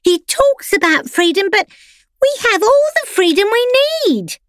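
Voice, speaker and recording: A woman's voice: extremely high pitch, sing-song, unhurried, a bit bright, no echo, studio quality, pitched above the performer's own voice, full character voice. A woman voicing a pampered, prim female pet who talks down to the others. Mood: condescending. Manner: condescending, sing-song.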